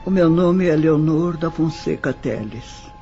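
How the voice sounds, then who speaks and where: A man acting as a sorrowful Brazilian woman of the 1800s, telling her life in a radio-play manner. The delivery is very slow and formal, the pitch fairly high for a male voice. A faint bed of music sits under the slightly muffled sound. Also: gravelly, dark tone. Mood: reminiscing. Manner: slow, measured, formal recollection. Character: sorrowful widowed woman of 19th-century Rio recalling her life. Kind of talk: scripted audio drama monologue. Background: background music.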